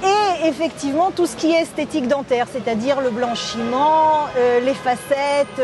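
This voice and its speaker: A woman dentist, a guest on a talk show, explaining her work with frequent pauses as she searches for words. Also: high-pitched, music in the background. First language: French. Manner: hesitant, searching for French words. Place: outdoors at a café terrace.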